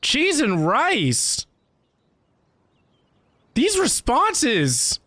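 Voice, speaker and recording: A woman's voice: high voice, huge pitch swings, extremely slow, a bit bright, no room sound. A woman giving casual reaction commentary as a game streamer.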